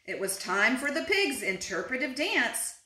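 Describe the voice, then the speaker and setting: A woman in her thirties reading picture books aloud to young children, expressively, with drawn-out words and little asides to her listeners. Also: a little high, very animated, bright, forceful tone. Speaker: children's story reader and teacher. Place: small living room, indoors on a couch. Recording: roomy echo.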